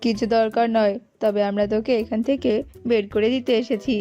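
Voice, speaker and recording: A woman's voice: on the high side, fairly even intonation, fairly quick, dark tone, pitched below the performer's own voice, lightly acted. A woman voicing a scheming, bossy male bird in a cartoon, cocky and threatening, over music. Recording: slightly dull audio with music behind.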